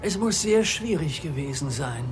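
An actor in a German radio drama playing an odd undertaker, earnest, brooding and insistent. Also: male voice, fairly high pitch, lively intonation, moderate pace, over music, close to an everyday voice. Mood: polite, guarded. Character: odd, obsessive male undertaker with medical ambitions.

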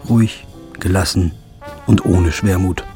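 A man with a very low voice narrates in a flat, brooding noir manner. He keeps his delivery understated and heavy, with clear music running behind. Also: unhurried. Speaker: narrator and lead. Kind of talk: first-person noir narration.

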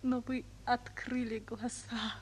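High-voiced, gentle young wartime nurse. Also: female voice, level tone, slow pace. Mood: tender. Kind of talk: Soviet radio drama acting. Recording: old noisy radio recording.

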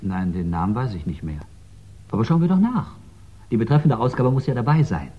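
A man's voice: medium pitch, big pitch swings, fairly quick, slightly rough. An actor playing a helpful, chatty archive man who loves horse racing, eager and musing, in a 1960s German radio drama. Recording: dull 1960s radio recording.

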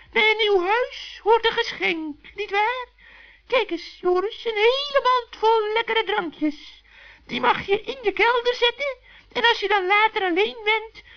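A man's voice: very high-pitched, a little slow, pitched above the performer's own voice. A man voicing a sly old female witch in a children's radio play, cackling and falsely sweet. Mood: wheedling. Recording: muffled vintage 1960s radio recording.

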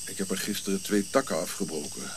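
Grumpy, low-voiced old prince. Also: male voice, fast talker. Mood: wry, reflective. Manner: curt, irritable, dry irony. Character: grumpy, sardonic old prince looking back on life. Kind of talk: radio drama acting. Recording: clear background hiss and insect-like night noise.

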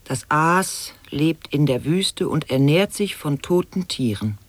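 An actor voicing a mock-serious reciter of nonsense permutations and absurd aphorisms, declaiming in an avant-garde radio play. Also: male voice, fairly high pitch, lively intonation, slow pace.

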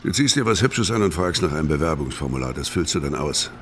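An actor playing a guarded, blunt fugitive killer in a crime radio play, speaking gruffly and curtly. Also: male voice, very deep voice, animated, fast talker, a little hiss or hum. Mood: firm, instructing. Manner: blunt, curt.

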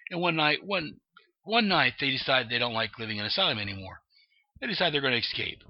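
A man's voice: mid-range voice, sing-song, fast, pitched above the performer's own voice. A man reading aloud as a deranged male clown villain telling a joke, in a lilting, playful way. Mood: gleeful. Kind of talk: reading comic dialogue aloud. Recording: dull lo-fi dry recording.

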